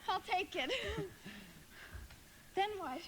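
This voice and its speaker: Very high-voiced, sweet teenage girl. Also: lively intonation, very slow, heavily acted. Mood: playful. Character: sweet, wistful eighteen-year-old small-town girl. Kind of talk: live radio play acting. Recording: live stage recording with hiss.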